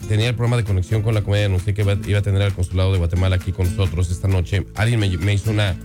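Man, Mexican accent, fairly low voice, radio host, conversational interviewing, music clearly behind. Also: steady tone, racing. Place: radio studio.

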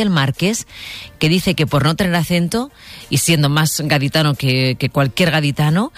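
A woman hosting a late-night radio talk show from a studio, speaking conversationally and addressing listeners directly. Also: low voice. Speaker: radio host.

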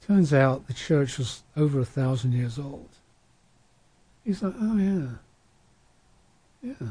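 Man with a dark, husky voice. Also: medium pitch, animated, takes it slowly, dry recording. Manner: thoughtful, anecdotal, with frequent restarts. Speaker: panel discussion speaker. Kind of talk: reflective panel talk.

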